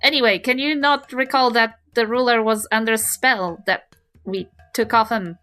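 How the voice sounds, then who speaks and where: A woman's voice: fairly high pitch, steady tone, normal speed, sharp tone, smooth. A woman, chatting casually as a player in a tabletop role-playing game. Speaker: player in a tabletop RPG podcast. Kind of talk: casual chat.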